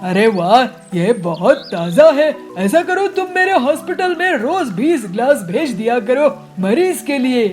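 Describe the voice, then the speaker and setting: A woman voicing a hurried male bird doctor in a children's cartoon, brisk and blunt in manner. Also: high-pitched, sing-song, darkish tone, faint music behind. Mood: pleased. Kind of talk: children's cartoon story voice acting.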